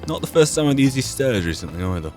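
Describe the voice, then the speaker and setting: A man's voice: mid-range voice, sing-song, quick pace, a little mellow, faint music behind. A man doing wry, understated let's play commentary, sometimes narrating the game as a role-play. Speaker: gaming channel host.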